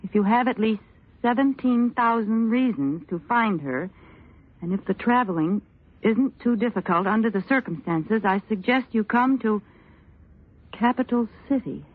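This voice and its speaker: An actress playing a scheming, guarded wife in a 1940s radio drama, haughty and defiant. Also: female voice, fairly high pitch, moderate pace, natural voice. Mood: alarmed. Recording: thin, phone-like old radio recording.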